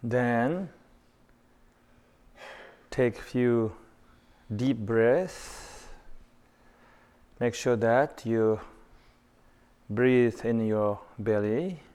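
A man leading a guided meditation in short phrases spaced with pauses. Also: medium pitch, darkish tone, smooth.

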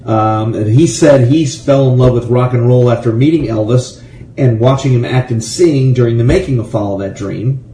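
A man's voice: mid-range voice, normal speed, mellow. An American man from Florida hosting a casual radio show, reading trivia and adding rambling, jokey asides. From Gainesville, Florida. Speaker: radio show host and musician. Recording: roomy, echoey, faint background noise.

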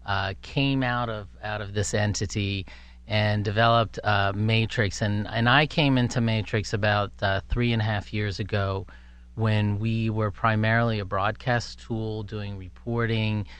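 A man speaking as an executive guest in an interview, conversational and measured, with halting pauses. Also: on the low side, steady tone, fairly bright tone, clean tone.

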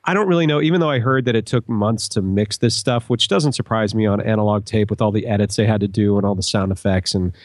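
A man's voice: fairly low pitch, quick pace, few pauses, dry recording, studio quality. A man in casual, analytical podcast conversation, explaining things as a guest.

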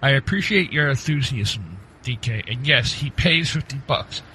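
A man's voice: medium pitch, lively intonation, normal speed, bright, forceful tone, a little hiss or hum, low-quality recording. A man playing a wary, skeptical bowler pal with a wry manner in a comedic audio drama.

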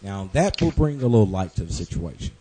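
A man co-hosting a casual podcast, loud, profane and teasing as he banters with guests. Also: low voice, sing-song, brisk, breathy edge. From Kansas. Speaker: co-host.

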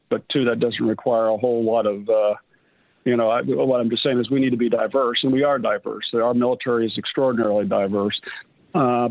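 A man's voice: mid-range voice, dry recording. An American man in his sixties, a retired general, giving earnest, hedging conversational answers as an interview guest. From United States, raised on military bases. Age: 60s. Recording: slightly muffled, lo-fi radio line.

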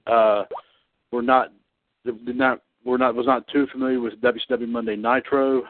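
A man in his thirties hosting a casual online radio show about wrestling. He talks haltingly, with many fillers and restarts. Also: mid-range voice, no echo. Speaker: podcast host. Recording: tinny phone-line sound, dry.

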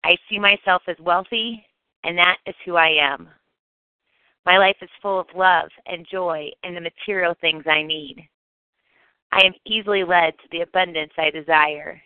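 A woman reciting affirmations for a guided meditation in measured, declarative sentences. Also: fairly low pitch, steady tone, dry recording. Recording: dull, close, dry recording.

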